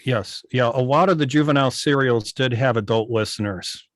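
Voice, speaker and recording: A middle-aged man explaining things casually on a video call, often pausing to search for his words. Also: mid-range voice, no echo, clean studio sound. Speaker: guest, old-time radio station operator. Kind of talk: casual explanatory talk on a club video meeting.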